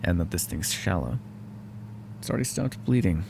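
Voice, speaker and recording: A man's voice: low-pitched, expressive, normal speed, creaky, noisy background. A man voicing a sarcastic, foul-mouthed vampire who is grudgingly helpful and hungry, speaking intimately to the listener in an audio roleplay. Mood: hungry, restrained. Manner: sarcastic, wry, exasperated, conversational. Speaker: roleplay voice actor.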